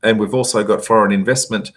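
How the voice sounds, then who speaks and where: A man, hoarse and losing his voice, hosting a live question-and-answer session and giving emphatic advice with plenty of fillers. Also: lowish voice, steady tone, fast talker, fairly bright tone. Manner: emphatic, repeats words for stress, frequent fillers. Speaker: host answering audience questions.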